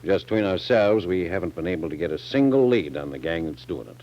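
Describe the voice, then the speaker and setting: An actor playing a genial, plainspoken older sheriff with an American accent in a 1940s radio drama. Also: male voice, sits low, expressive, fast talker, darkish tone. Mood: earnest. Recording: dull 1940s radio recording.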